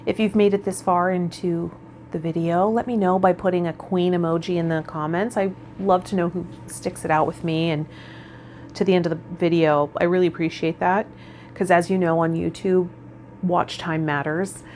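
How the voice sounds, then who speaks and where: Female spiritual vlogger, slightly low register, informal and reflective direct address, faint background noise. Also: steady tone, moderate pace, a little mellow. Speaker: tarot vlogger and host. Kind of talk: casual spiritual vlog talk, partly reading card meanings.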